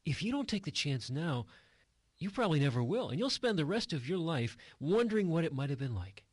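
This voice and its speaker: A man's voice: fairly high pitch, sing-song, fairly quick, slightly rough, no room sound. Kindly, earnest male bartender, dry sound, acted. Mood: earnest, encouraging. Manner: gentle, earnest. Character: kindly, encouraging bartender and good listener. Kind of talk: radio drama acting.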